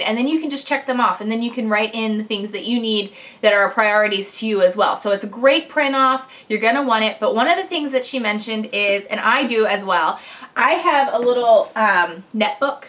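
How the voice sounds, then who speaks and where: A woman's voice: mid-range voice, fairly bright tone. A woman in her thirties, stuffy from a cold, hosting a casual crafting tutorial vlog straight to camera at home. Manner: chatty and upbeat, talks straight to camera. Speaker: crafting channel host. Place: small room indoors at home.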